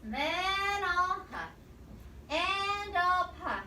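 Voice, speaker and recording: Lady reading as a sneaky hungry storybook coyote, high voice, really drawn out, echoey room. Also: animated, sharp tone, exaggerated voice. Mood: menacing. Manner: sweetly coaxing, drawn-out threats. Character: sly hungry coyote trickster. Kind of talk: children's storybook read-aloud. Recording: echoey, distant microphone, noisy room.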